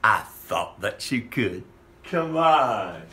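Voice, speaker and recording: A man's voice: lowish voice, big pitch swings, extremely slow, bright, forceful tone, echoey room, distant mic. A middle-aged man hosting his own vlog from a home office full of props, talking to viewers in a campy, theatrical kids'-show-host way and chuckling often. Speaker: costume maker and vlog host.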